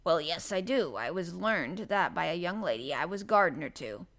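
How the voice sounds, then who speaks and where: A woman's voice: fairly low pitch, animated, normal speed, fairly bright tone. A woman voicing a grumpy old male gardener with a Yorkshire dialect and a grumbling manner, in an audiobook. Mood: gruff, plain. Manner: grumbling, grunting, dialect speech. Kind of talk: audiobook character voice.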